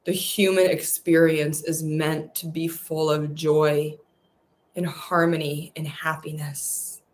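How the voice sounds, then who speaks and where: Woman meditation guide: soft, soothing, repetitive affirmations, unhurried and even, low-set voice. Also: a little hiss or hum.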